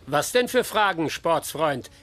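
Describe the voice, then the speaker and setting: An actor playing a dry, tough investigator in a German radio drama, terse and probing. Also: male voice, fairly high pitch, expressive, unhurried, fairly bright tone. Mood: dry, unflappable. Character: dry, tough, unflappable investigator questioning witnesses.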